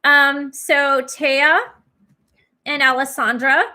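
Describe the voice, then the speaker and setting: A woman in her forties hosting a live Q&A from a small room, chatty and animated as she answers viewers, her voice a bit worn. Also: high-pitched, slow pace. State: losing her voice. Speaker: livestream host and twin flame reader.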